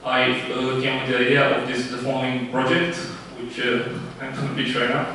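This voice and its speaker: A man from Romania pitching his startup live, halting often with fillers and restarts. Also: little pitch movement, pushed and bright, slight background noise. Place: event room. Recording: echoey room, distant mic.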